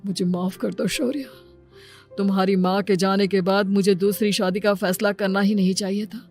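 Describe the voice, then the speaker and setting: A woman's voice: mid-range voice, steady tone, moderate pace, darkish tone, soft background music, close to an everyday voice. A woman voicing an earnest, protective young father in a cartoon story. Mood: tender.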